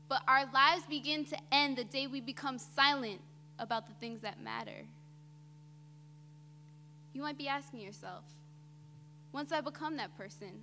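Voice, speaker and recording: High, bright-voiced woman performing in a reverberant hall. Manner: dramatic, deliberate phrasing with emphatic swells and quiet drops. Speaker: student monologue performer. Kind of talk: theatrical spoken-word monologue performed on stage. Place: indoor auditorium stage before an audience. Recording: reverberant hall, faint background noise.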